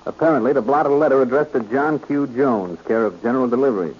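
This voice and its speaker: An actor playing a cool, sly private detective in 1940s radio style, measured and insinuating. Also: male voice, mid-range voice, a little mellow, lightly acted. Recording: muffled, noisy old radio recording.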